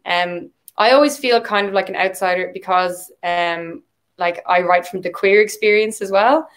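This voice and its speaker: A woman's voice: medium pitch, moderate pace, a bit bright. An Irish woman, a poet, chatting in a casual interview and filling her talk with "like" and "um". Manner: chatty, with frequent "like" and "um" fillers. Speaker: poet and guest.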